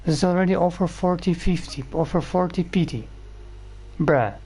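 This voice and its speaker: A man's voice: high-pitched, moderate pace, warm tone, slight background noise. A man giving casual game commentary as a streamer, blunt, profane and grumbling. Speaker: gaming streamer.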